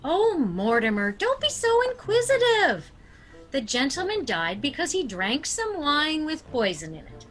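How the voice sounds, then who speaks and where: A woman playing a sweet, prim elderly aunt in a theatrical radio comedy, genteel and gently scolding. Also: high-pitched, big pitch swings, unhurried, heavily acted. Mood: primly soothing. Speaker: actor.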